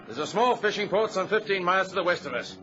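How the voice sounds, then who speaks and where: An actor playing a deferential but decisive first mate in an old radio drama, clipped and dutiful. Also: male voice, high-pitched, animated, fast, sharp tone. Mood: commanding. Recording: muffled, slightly noisy vintage radio recording.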